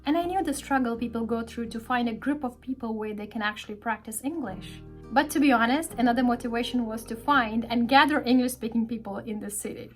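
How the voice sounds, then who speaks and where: A woman's voice: on the high side, a little fast. A woman from Pakistan, an English teacher, narrating a vlog in a friendly storytelling way. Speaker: English teacher and speaking club organizer. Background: soft background music.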